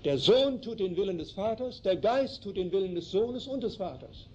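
High, lively preacher in a chapel. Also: male voice. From Canada. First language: German. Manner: slow, emphatic, explains Bible verses. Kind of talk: sermon. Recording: dull lo-fi recording, faint noise.

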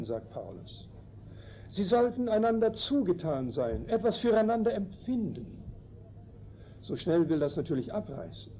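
A man from Canada whose first language is German, preaching a slow, emphatic sermon in a chapel that explains Bible verses. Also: sits high, dark tone. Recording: dull lo-fi recording, faint noise.

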